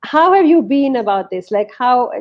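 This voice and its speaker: A woman's voice: high-pitched, very animated, moderate pace, highs cut off. A woman interviewing guests, prompting them with questions and offering frequent short affirmations. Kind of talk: interview hosting.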